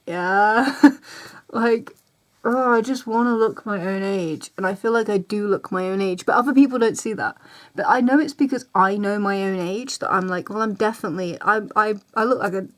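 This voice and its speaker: A woman, talking casually straight to camera, rambling and repeating herself. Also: fairly high pitch. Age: 20s. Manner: chatty, repeats herself, voices other people's reactions. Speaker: vlogger. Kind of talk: casual ranting vlog.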